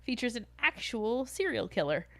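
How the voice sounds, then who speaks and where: A woman's voice: fairly high pitch, big pitch swings, normal speed, vocal fry, dry recording. A woman chatting informally as a podcast co-host. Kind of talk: casual podcast chat.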